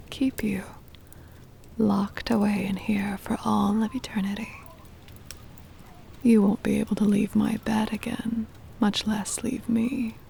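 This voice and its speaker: A woman playing a possessive, doting centuries-old vampire mistress in an intimate, breathy whispered ASMR roleplay, coaxing and tender with a menacing edge. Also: mid-range voice, mellow, slight background noise. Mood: soft menace. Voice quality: breathy whisper with creaky edges. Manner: slow, coaxing, possessive endearments.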